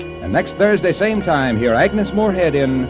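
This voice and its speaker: A man's voice: on the high side, lively intonation, moderate pace, loud music behind the voice. A man announcing a 1940s radio drama and reading its sponsor ads in a polished, emphatic, salesy way.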